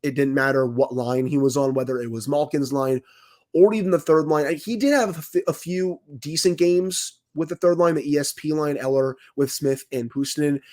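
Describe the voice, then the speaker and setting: Man with a cold, slightly raspy. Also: on the high side, a little mellow. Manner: opinionated, careful hedging, stats-heavy. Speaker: podcast co-host, hockey analyst. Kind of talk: casual sports podcast talk.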